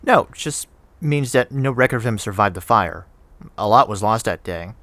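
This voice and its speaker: Awkward earnest friend in audio drama, hesitant, bright voice, pitch jumps around. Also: male voice, lively intonation, no echo. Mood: earnest, reassuring. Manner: hesitant, halting. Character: awkward, helpful male friend, nervous and earnest. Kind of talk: conversational audio drama acting.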